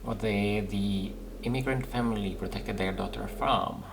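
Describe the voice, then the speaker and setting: A man with lots of vocal fry gives casual game commentary over faint background noise. He muses aloud and circles back over his phrases. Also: on the low side, unhurried. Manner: musing aloud, repeating phrases. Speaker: gaming video host.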